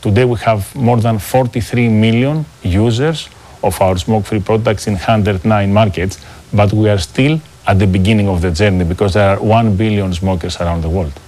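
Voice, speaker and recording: Middle-aged Greek-accented man, fairly low voice. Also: normal speed, a little mellow. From Greece. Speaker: business executive, interview guest. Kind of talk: prepared corporate interview answers.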